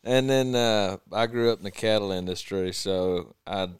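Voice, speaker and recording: Man, Southern drawl, twenties, podcast guest, deep voice, dry close sound. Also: normal speed, clear, resonant tone, no room sound. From American South. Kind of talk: casual podcast chat.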